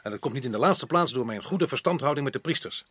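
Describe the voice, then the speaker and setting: An actor playing a stubborn, self-assured colonial official in a radio play, with a dismissive, opinionated manner. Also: male voice, medium pitch, expressive, quick pace, fairly bright tone, husky. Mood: firm, self-assured. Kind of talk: radio drama acting in Dutch. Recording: noisy old radio play recording.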